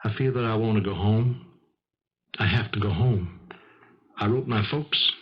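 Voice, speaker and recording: Husky, earnest, conscience-stricken businessman. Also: fairly low pitch, a little slow. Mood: earnest, resolute. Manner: earnest, firm, moralizing. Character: conscience-stricken businessman, principled, resolute and weary. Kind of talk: 1970s radio drama acting. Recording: muffled vintage 1970s radio recording.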